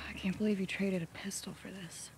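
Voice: mid-range voice, fairly even intonation, moderate pace, gravelly, slight background noise, lightly acted. A performer playing a skeptical young teenage boy survivor in a video game, with a natural, muttering delivery. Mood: skeptical, weary.

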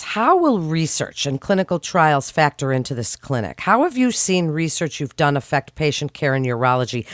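Casting: woman host, polite scripted interviewer, mid pitch, dry close sound. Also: no echo. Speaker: podcast host. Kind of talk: interviewing guests.

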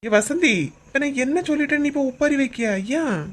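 A woman voicing a teasing, gruff middle-aged husband in a cartoon comedy, speaking with a Kanyakumari Tamil accent. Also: sits high, a little fast, lightly acted. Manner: brusque, scolding, teasing banter.

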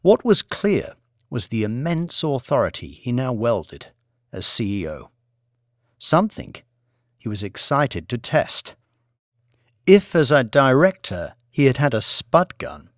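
A man reading fiction as an audiobook narrator, in a measured, dramatic storytelling manner. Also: medium pitch, sing-song, no echo, clean studio sound.

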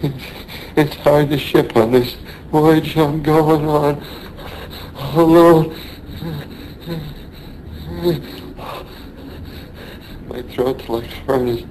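An actor playing a dying American sailor in a radio drama, weak and pained, speaking haltingly and wistfully. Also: male voice, mid-range voice, fairly even intonation, mellow, close to an everyday voice, poor audio. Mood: pained, failing. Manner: halting, labored, brooding delivery. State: weak, in pain. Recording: faint noise behind a radio play.

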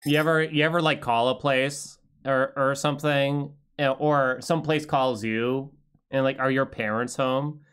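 A man's voice: medium pitch, fairly even intonation, unhurried, a bit bright, clean tone. A young man hosting a stream, joking in laid-back banter from a small room on a headset mic. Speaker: streamer host. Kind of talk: casual banter over gameplay.

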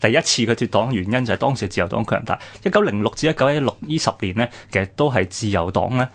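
Fast-talking Hong Kong Cantonese man. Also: on the low side, lively intonation. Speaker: radio programme co-host. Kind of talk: conversational history discussion on radio.

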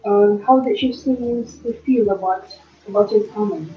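A woman's voice: medium pitch, unhurried, recorded from a distance, soft background music, lightly acted. A young woman playing a county attorney questioning a witness, with hesitant delivery in an amateur student play. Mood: inquiring. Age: young adult. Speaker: student actor in a class play. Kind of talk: amateur stage-play acting. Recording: muffled, echoey home recording.

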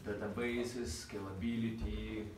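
A man's voice: lowish voice, unhurried. A man in his thirties with a Russian accent, teaching an informal programming class in a small meeting room and asking the students questions. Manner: relaxed, asks the class questions. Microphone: far camera. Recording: roomy, distant, noisy room sound.

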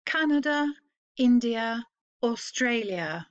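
Norwegian teacher reading slowly, higher voice. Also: female voice, animated, muffled recording. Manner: slow, clearly phrased read-aloud with pauses. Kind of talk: slow read-aloud for learners to repeat.

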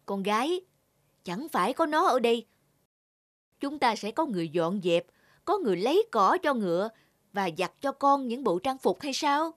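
A woman's voice: fairly high pitch, very animated, normal speed, no room sound, clean studio sound. A woman voicing a cruel, scheming middle-aged stepmother in a children's fairy-tale cartoon, scolding and commanding. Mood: coaxing.

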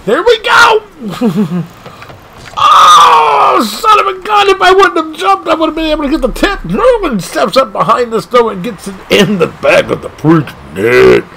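Excitable man narrating his own gameplay. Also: very high-pitched, a little slow, vocal fry, background noise. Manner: animated, exclaiming, frustrated at missed shots. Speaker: gaming streamer. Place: home gaming room.

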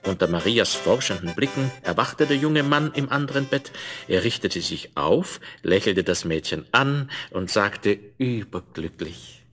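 Low-pitched male fairy-tale narrator. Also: measured pace, soft background music. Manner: storyteller's expressive delivery with rhetorical questions. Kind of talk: scripted fairy-tale narration, 1970s German audio play.

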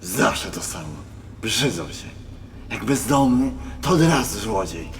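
A man's voice: lowish voice, animated, a little slow, background noise. A man acting a bitter, regretful homeless man, muttering with resignation in a radio play. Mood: resigned.

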